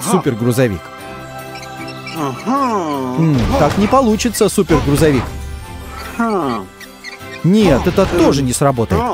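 Bubbly woman narrating a kids' cartoon. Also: mid-range voice. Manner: cheerful, encouraging, exclamatory, talking to the characters and the kids. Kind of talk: lively scripted narration for young children. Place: voice-over studio. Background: loud cartoon music.

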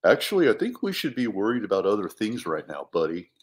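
A man's voice: on the low side, very animated, fast talker, voice pushed down. Guy doing big silly bear dude for a kids book, slangy, full of himself, voice bounces all over. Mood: laid-back. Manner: laid-back surfer-dude slang, boastful. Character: gigantic talking bear, a goofy excitable pet hamster transformed. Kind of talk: animated children's storybook read-aloud.

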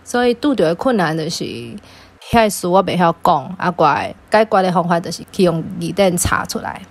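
A woman's voice: fairly low pitch, moderate pace. A woman with a Taiwanese accent, talking casually as a parent being interviewed about personal experience.

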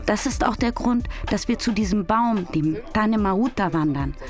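A woman's voice: medium pitch, expressive, normal speed, close to an everyday voice. Woman acting a tough, caring young girl, music behind. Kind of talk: audio drama dialogue acting.

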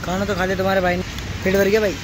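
A man's voice: high-pitched, monotone, very fast, background noise. A young man chatting casually as he vlogs his trip to a stadium, recorded outdoors on a phone. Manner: chatty, keeps calling viewers brother. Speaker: vlogger. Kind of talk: casual vlog narration. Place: outdoors on city roads and at a stadium.

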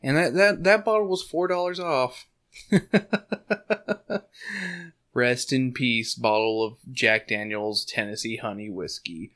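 A slightly hoarse man with a bright, edgy tone hosts a casual book podcast. He rambles, leans on fillers and laughs often, close on a desk microphone at home. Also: mid-range voice, takes it slowly, long pauses. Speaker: podcast host. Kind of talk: casual chat and book recap. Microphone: desk condenser mic.

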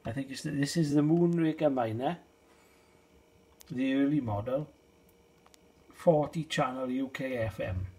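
A middle-aged British man giving a casual, unhurried and low-key hobbyist demonstration in a conversational way. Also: darkish tone, slightly rough. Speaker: hobbyist video host. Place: small indoor space, shed.

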